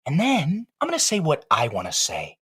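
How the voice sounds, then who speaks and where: Aggrieved wooden dummy, declamatory comic rebel, hugely animated pitch with some rasp. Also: male voice, normal speed, heavily acted. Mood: defiant. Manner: indignant, declamatory. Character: aggrieved, rebellious male ventriloquist's dummy, yearning to speak for himself. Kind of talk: comic audio play acting.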